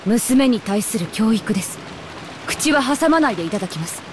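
A woman's voice: a little high, animated, normal speed, close to an everyday voice. A woman playing a stern, coldly dignified mother in an anime visual novel, speaking in a formal, authoritative way. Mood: cold, composed. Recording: clear rain noise behind.

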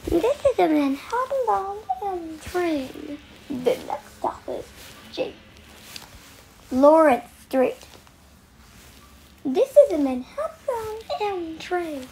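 A woman reading scripted transit announcements in clipped, formulaic, clearly separated phrases. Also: very high voice, sing-song, slow, long pauses, mellow. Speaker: train announcer. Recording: noisy recorded train announcements.

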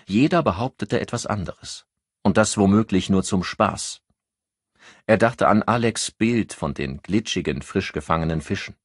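A male audiobook narrator reads crime fiction expressively. His voice is low and husky, with lively movement in pitch. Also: normal speed, no echo, clean studio sound.

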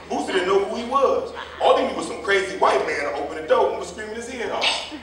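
A man's voice: high-pitched, a bit bright. A man playing a gossipy, combative older jitney driver in a stage play reading, telling stories in a folksy, rambling, colloquial way. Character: gossipy, combative old male jitney driver, scolding the young. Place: theater or hall staged reading, far mic. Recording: echoey hall, distant mic, faint noise.

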